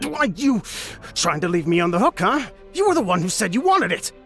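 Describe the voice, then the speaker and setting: A man's voice: sits high, sing-song, fairly quick, a bit bright, faint music behind, full character voice. A man playing a slippery, indignant shopkeeper in a video game, put-upon and feigning politeness. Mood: outraged. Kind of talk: video game character dialogue.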